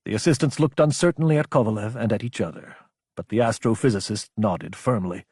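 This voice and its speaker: A man's voice: on the low side, fairly quick, a little mellow, breathy edge, no echo, studio quality. A man reading an audiobook as dramatic scripted narration.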